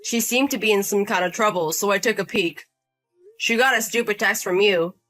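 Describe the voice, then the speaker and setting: A woman's voice: mid-range voice, moderate pace, a bit bright, faint music behind, natural voice, low-quality recording. A woman voicing a firm, protective husband. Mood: stern. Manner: firm, confronting, indignant. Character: protective angry husband, younger brother.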